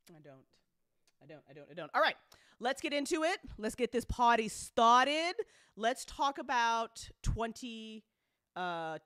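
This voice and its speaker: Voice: medium pitch, a bit bright, dry recording, studio quality. A host giving a casual livestream update, talking effusively with casual swearing and a giggly, easily amused manner. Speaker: host and audio drama creator. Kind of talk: casual livestream update, reading and answering fan comments.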